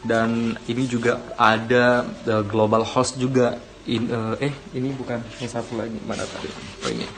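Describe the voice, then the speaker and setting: A man's voice: medium pitch, steady tone, moderate pace, background noise. A young man from Aceh talking casually to his viewers, rambling with many fillers and self-corrections, giving encouragement. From Aceh, Indonesia. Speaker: vlogger. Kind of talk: casual talk to camera.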